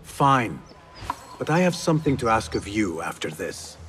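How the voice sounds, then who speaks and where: An actor playing a young former street thief turned assassin in a video game, speaking in an earnest, measured, courteous way. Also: male voice, lowish voice, very animated, background noise. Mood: friendly, guarded. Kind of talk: video game character acting.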